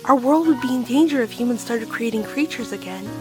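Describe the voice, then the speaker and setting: A woman's voice: on the high side, a little fast, over music, close to an everyday voice. A woman in an audio drama playing a stern, guarded monkey creature who passes judgment.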